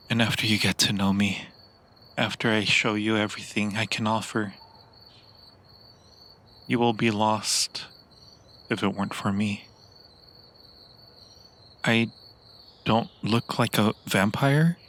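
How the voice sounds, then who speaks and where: A man playing a centuries-old, yearning and possessive vampire in an improvised ASMR roleplay, speaking to the listener in an intimate, theatrical way with lingering dramatic pauses. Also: fairly low pitch, bright, forceful tone, full character voice. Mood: amused, courtly.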